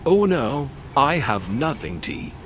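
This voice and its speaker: A man's voice: mid-range voice, sing-song, a little slow, fairly bright tone, slight background noise. A man voicing a carefree, idle grasshopper with theatrical delivery in a children's fairy tale. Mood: desperate, pleading. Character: carefree fiddle-playing grasshopper, idle and pleasure-loving.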